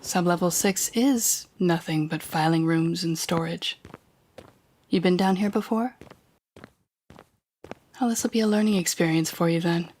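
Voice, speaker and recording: A woman playing a smug, controlling telepathic villain in an audio roleplay, taunting the listener in a deliberate, condescending manner. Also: on the low side, darkish tone, slight background noise, close to an everyday voice.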